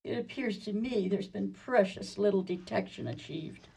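A woman's voice: medium pitch, moderate pace, gravelly, mellow, slightly rough, poor audio. A woman playing a prim, wounded grown daughter in a comedy whodunit radio play, mannered and plaintive. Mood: prim, wistful.

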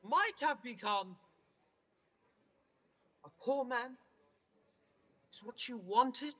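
A proud young man of business, acted by a man, speaks formally and with self-satisfaction. His pitch moves widely, and a long reverb trails the voice, as in a staged radio play. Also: expressive, drawn-out words, pushed and bright, muffled recording, full character voice. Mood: wounded, indignant. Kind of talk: stage-style radio drama acting.

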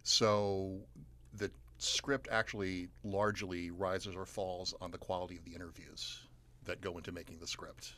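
A man's voice: low voice, level tone, moderate pace, pushed and bright. A man from the American South, a playwright, talking candidly in a radio interview. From southern United States. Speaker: playwright and teacher.

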